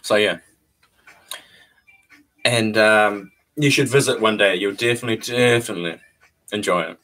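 A man's voice: fairly low pitch, pushed and bright. A young man from New Zealand with a New Zealand accent, chatting casually on a livestream from a small bare room into a webcam, laid-back, chuckling and repeating his phrases. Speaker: vlogger hosting a livestream. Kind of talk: casual livestream chat answering viewers. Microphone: webcam at desk distance.

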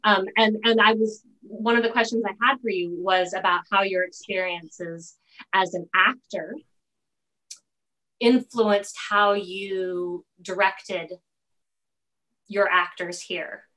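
Middle-aged woman, mid-range voice, effusive interviewer, halting, video call. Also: mid-range voice, fairly even intonation, bright, forceful tone, poor audio. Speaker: host, interviewer. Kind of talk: casual enthusiastic interview chat. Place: indoor room, video call. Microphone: video call microphone.

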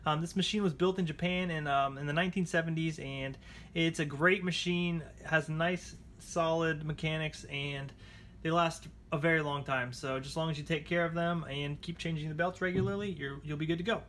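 Higher-voiced man in a casual how-to. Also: steady tone, normal speed, fairly bright tone, slight background noise. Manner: informal, chatty, addresses viewers as guys. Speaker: how-to channel host. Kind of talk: casual repair tutorial, talking through steps. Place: indoors at a table at home.

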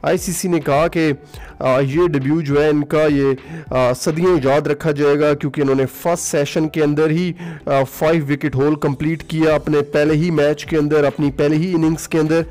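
A man's voice: fairly high pitch, steady tone, moderate pace, darkish tone. A man talking through a cricket match in a casual voice-over. He uses many filler sounds and drops in English phrases. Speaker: cricket commentary vlogger. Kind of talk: solo cricket commentary over music. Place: voice-over, not recorded at the ground.

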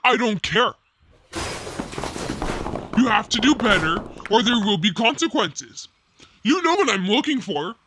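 A man's voice: high-pitched, very animated, unhurried, long pauses, noisy background. A man playing a greedy, domineering space police commander who speaks in threats in an audio drama. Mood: threatening.

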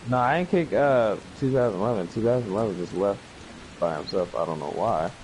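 A man's voice: on the low side, lively intonation, a little fast, noisy background. A man hosting a game stream, swearing and griping casually as he plays. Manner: profane, easily frustrated. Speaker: streamer host. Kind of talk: casual gaming chat. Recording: rain game sound behind voice.

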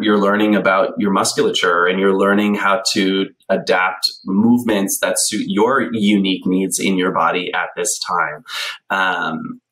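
Brief: man in his thirties, deep voice, animated podcast guest on a video call, chatty with many ums. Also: rough recording. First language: English. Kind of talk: casual conversational storytelling. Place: small bright room at home. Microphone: headphones on a video call.

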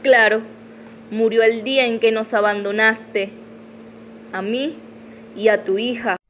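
A woman's voice: on the high side, fairly even intonation, slow, fairly bright tone, poor audio. A woman playing an imperious, desperate mother in a melodramatic radio soap opera. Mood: desperate, pleading. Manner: haughty, melodramatic. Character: imperious, desperate mother confronting her former lover.

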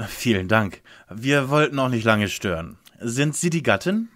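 Man acting as smug schoolboy detective, over-polite, pompous, animated mid-pitched voice, parody audio drama. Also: unhurried.

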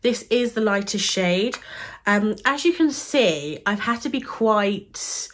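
A woman's voice: medium pitch, lively intonation, slow. Stuffy-nosed bubbly British woman. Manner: bubbly, gushing, laughs often. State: nasal, stuffy from a cold. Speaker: beauty and fashion YouTuber. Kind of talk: chatty product-review vlog. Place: bedroom, seated on a sofa, indoors.

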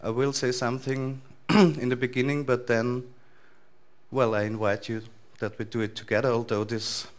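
A man's voice: mid-range voice, normal speed. Austrian man giving a conference talk. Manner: relaxed, thinking aloud with frequent fillers and restarts. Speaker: conference speaker. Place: large wood-panelled lecture hall.

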